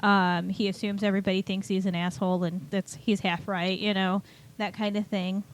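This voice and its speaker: A woman's voice: medium pitch, flat delivery, moderate pace, poor audio. A woman in casual chat as a co-host giving feedback, with informal, tentative phrasing. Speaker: co-host critiquing a chapter.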